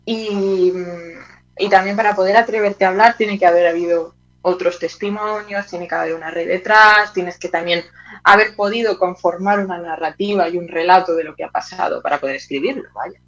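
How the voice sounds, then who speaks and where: A woman's voice: on the low side, fairly even intonation, moderate pace. Woman from Spain, colloquial, slightly lo-fi sound. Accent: Spanish from Spain. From Teruel, Spain. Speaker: interview guest, playwright. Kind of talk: casual interview talk.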